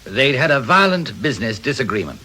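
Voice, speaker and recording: An actor playing a forthright, protective husband answering police questions frankly in a radio crime drama. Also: male voice, medium pitch, very animated, fairly quick, pushed and bright, husky, heavily acted. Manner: frank, matter-of-fact.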